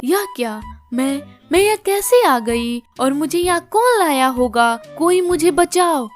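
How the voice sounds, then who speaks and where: A woman's voice: high-pitched, lively intonation, unhurried, over music. A woman voicing a sad, pleading young orphan village girl in a cartoon story. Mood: frightened. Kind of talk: Hindi cartoon story dialogue.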